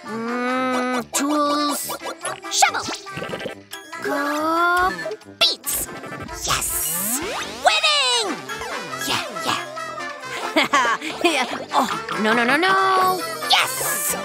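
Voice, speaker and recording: Cartoon boy bear cub: very high, wildly animated, slangy show-off, music underneath. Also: drawn-out words, pauses often, exaggerated voice. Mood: excited, obsessed. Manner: dramatic, boastful, slangy. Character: excitable young male bear cub obsessed with gaming. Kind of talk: children's cartoon voice acting. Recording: music behind the voice.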